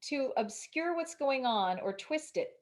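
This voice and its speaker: A woman's voice: sits high, lively intonation, a little slow. A woman speaking as an interviewed author in a casual spiritual conversation, with an emphatic, declarative way of talking.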